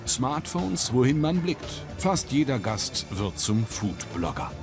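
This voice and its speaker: Animated male TV voice-over narrator. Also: mid-range voice, slow, music in the background. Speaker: reporter-narrator. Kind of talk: scripted TV magazine voice-over.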